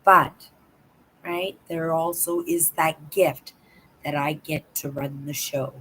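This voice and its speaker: Older woman, livestream host, hoarse from a sore throat; rambling chatty delivery, pitched slightly low. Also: steady tone, slow, fairly bright tone, a little hiss or hum, low-quality recording. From Canada. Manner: rambling, chatty, keeps adding "right?". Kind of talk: casual live chat to viewers.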